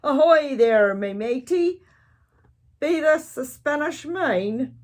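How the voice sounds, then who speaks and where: A woman's voice: sits high, expressive, takes it slowly, darkish tone, voice pushed up. A woman voicing a jovial, bossy pirate captain who bellows hearty pirate talk for a children's storybook. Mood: hearty, blustering. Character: loud, blustering pirate captain, a grown man, jovial and bossy.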